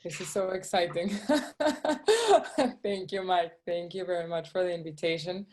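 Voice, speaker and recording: A woman's voice: lowish voice, lively intonation, measured pace, very noisy. A woman from Venezuela speaking English, giving an eager talk to schoolchildren as a guest shoe designer. She talks over a video call and often checks in with "okay?". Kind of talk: presentation to schoolchildren.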